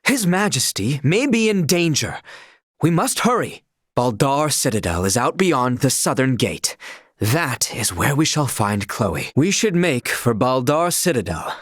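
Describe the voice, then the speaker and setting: An actor voicing an earnest, stern young knight in a video game, with formal, archaic and stiffly proper diction. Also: male voice, mid-range voice, big pitch swings, a little slow, breathy edge, no room sound, studio quality. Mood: urgent.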